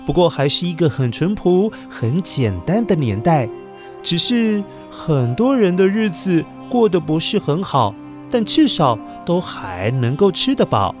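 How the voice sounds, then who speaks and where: A man narrates a children's tale in Taiwanese Mandarin at an unhurried pace, with music behind him. His delivery is dramatic and swooping, and he turns to his young listeners as he tells it. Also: on the high side, very animated. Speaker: children's story podcast narrator. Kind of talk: expressive scripted storytelling for children.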